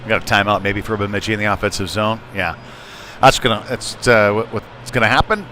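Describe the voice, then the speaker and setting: A man commentates a live hockey game with clear noise from the rink behind him. His delivery is conversational, as if thinking aloud while following the play. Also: fairly low pitch, brisk, bright, forceful tone. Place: indoor ice rink. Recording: noisy live rink broadcast.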